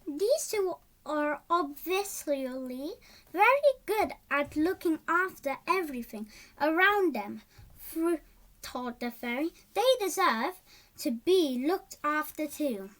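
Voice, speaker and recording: Child reader, high voice, slow pace, lively swoops in pitch, halting storybook read-aloud with stumbles and repeats. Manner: halting, stumbles over words and repeats them.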